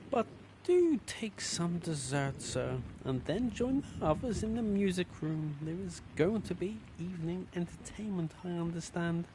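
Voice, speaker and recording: A man's voice: sits high, noisy background. A man voicing a prim, deferential butler in a game, formal and drily droll.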